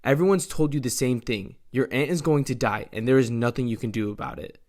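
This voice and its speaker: A mysterious woman, read by a male narrator, calm and knowing. The lines are cryptic and measured, from a retold comic book story. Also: mid-range voice, natural voice. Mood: blunt.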